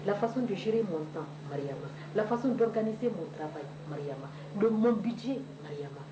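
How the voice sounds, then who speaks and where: A woman's voice: mid-range voice, lively intonation, brisk, a crowd in the background. A woman giving a rhythmic, rehearsed testimonial as a former pupil. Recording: echoey, noisy room.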